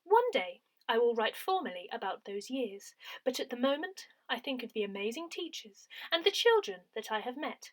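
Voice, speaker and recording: Woman, slightly high voice, animated melodic range, scripted newsletter reading, deliberate announcer phrasing. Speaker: newsletter reader. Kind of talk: scripted read-aloud of a parish newsletter.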